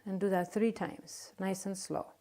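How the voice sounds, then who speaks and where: A woman's voice: medium pitch, steady tone, measured pace, mellow. A woman teaching a clinical exam, giving a patient polite, step-by-step directions with brief words of encouragement. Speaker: nursing instructor demonstrating an exam.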